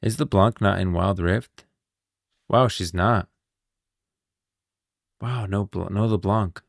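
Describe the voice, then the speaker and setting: A man's voice: sits low, takes it slowly, vocal fry, no room sound, studio quality. A man streaming casual commentary on game lore, musing aloud and questioning as he goes.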